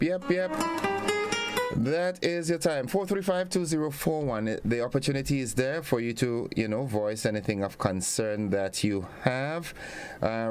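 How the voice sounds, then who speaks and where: A man hosting a morning radio call-in show, speaking in a relaxed way with brief acknowledgements as he repeats the time and phone number. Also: on the high side. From Grenada. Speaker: radio talk show host. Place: radio studio.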